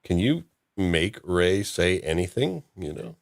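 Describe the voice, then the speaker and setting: Male podcast host, low register, informal and discursive, dry recording. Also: sing-song, slow pace, vocal fry, no room sound.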